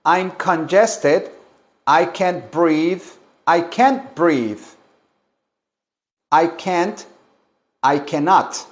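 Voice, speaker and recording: Slow, patient man drilling vocabulary. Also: a little high, animated. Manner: slow, deliberate drilling with many repetitions. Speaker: English teacher. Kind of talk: bilingual language lesson.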